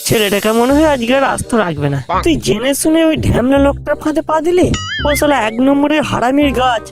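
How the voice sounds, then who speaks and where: A mocking cartoon onlooker, voiced by an actor, rattling along in a high voice. The delivery is crude and jeering, with clear music underneath. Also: expressive, quick pace. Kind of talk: Bengali comedy cartoon dubbing.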